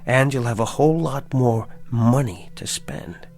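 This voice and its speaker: An actor playing a calculating, quietly persuasive man in a television drama, speaking deliberately and insistently like a salesman closing a deal. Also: mid-range voice, creaky. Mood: coaxing, persuasive.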